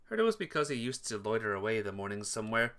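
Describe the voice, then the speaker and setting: A man's voice: fairly low pitch, sing-song, quick pace, a bit bright. A man reading visual novel text aloud during a playthrough, sometimes stumbling and rereading phrases. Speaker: video game player reading aloud.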